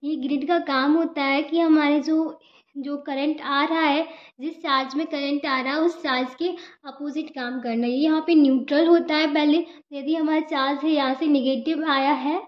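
A woman's voice: sits high, fairly even intonation, normal speed. A young woman giving an informal step-by-step lecture, repeating herself and answering her own questions. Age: young adult. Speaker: physiotherapy student giving a lesson. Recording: echoey room, dull lo-fi sound.

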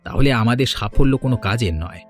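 An actor playing a remorseful but determined prosperous younger brother in a cartoon, solemn and earnest. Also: male voice, medium pitch, fast talker, soft background music, close to an everyday voice. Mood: resolute. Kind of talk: cartoon moral story voice acting.

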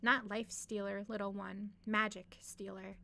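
A woman's voice: mid-range voice, flat delivery, unhurried, creaky, bright, forceful tone. A woman voicing a mad, boastful undead male sorcerer from a fantasy story, menacing and grandiose.

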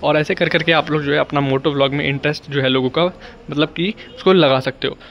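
A man's voice: on the high side, fairly even intonation, fairly quick, slight background noise. A young man with an Indian accent giving a casual vlog tutorial, explaining informally and repetitively with English words mixed in. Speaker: motovlogging YouTuber giving beginner tips. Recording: dull, lo-fi sound.

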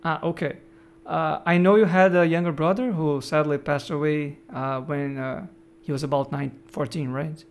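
A middle-aged man with a fairly high voice hosts a podcast, speaking in a measured, friendly way. He talks over a video call from his home office. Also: steady tone, clean tone. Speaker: podcast host. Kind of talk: interview host, reading the intro.

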